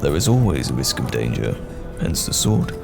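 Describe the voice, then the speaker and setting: A man playing a courteous, guarded, wry wandering knight in a fantasy audio roleplay, speaking in measured, old-fashioned phrases. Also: very deep voice, big pitch swings, creaky, heavily acted. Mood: polite, reflective. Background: music.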